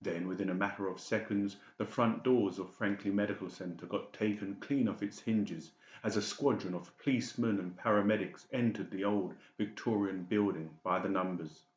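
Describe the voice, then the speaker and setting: A man with a low voice narrates a dramatic audiobook from a script. The recording has noticeable room echo and a dull, muffled tone. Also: normal speed, hardly any pauses. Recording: muffled, echoey home recording.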